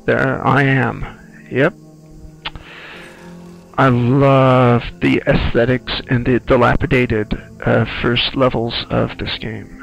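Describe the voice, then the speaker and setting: Very creaky-voiced man giving game commentary. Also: mid-range voice, level tone, slow pace, soft background music, poor audio. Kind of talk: casual playthrough commentary. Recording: faint noise, game sounds behind. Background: video game audio.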